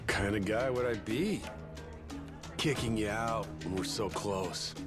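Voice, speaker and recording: A man playing a loyal, reflective treasure-hunting partner in a video game, speaking in a measured, sincere way. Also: lowish voice, loud music behind the voice. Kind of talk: dramatic video game dialogue.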